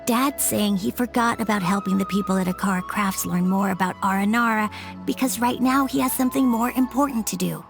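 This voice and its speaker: A woman voicing a young boy in a video game, calm and matter-of-fact. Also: medium pitch, a little fast, gravelly, music in the background, close to an everyday voice. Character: young boy, the storyteller's son, calmly explaining.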